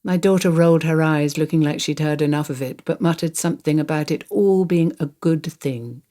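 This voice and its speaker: A woman's voice: deep voice, a little fast, dark tone. An older woman reads a radio play monologue as conversational first-person storytelling, in a wry, confiding way.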